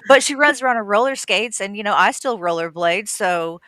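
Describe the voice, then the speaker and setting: A woman's voice: medium pitch, animated, a little fast, fairly bright tone, a little hiss or hum. A woman with a nasal voice chatting casually as a gaming guest, rambling and laughing easily. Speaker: guest gamer and streamer. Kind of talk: casual podcast chat.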